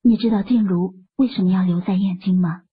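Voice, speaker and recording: An actress playing a composed, soft-spoken young wife who explains things in an urban fantasy audiobook. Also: female voice, mid-range voice, fairly even intonation, brisk, breathy edge. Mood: explaining. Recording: muffled, phone-like, lo-fi audio.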